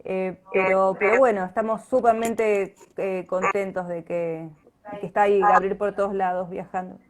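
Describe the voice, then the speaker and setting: Argentine woman chatting, mid-pitched voice. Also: steady tone, normal speed. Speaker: interview guest, writer-director. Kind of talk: casual chat. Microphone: internet live video call.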